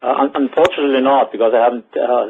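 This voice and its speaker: A man speaking on a casual work call in a halting way, with frequent pauses. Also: medium pitch. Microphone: phone or conference call. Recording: tinny narrow phone-like call.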